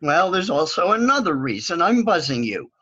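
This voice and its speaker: Man acting a forgetful old husband, sharp voice. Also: on the high side, sing-song, normal speed, slightly rough, lo-fi sound, heavily acted. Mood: sheepish, explaining. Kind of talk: stage play reading over video call.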